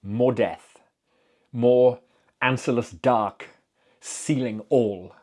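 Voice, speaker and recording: A man reading a horror story as a dramatic narrator, expressive and deliberate in his emphasis. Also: medium pitch.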